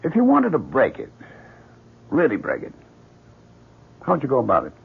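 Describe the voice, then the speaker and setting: Husky, fixated, evasive husband. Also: male voice, mid-range voice, wildly swooping pitch, full character voice. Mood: preoccupied. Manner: distracted, halting, intent. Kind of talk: 1970s radio drama acting. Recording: muffled old radio broadcast.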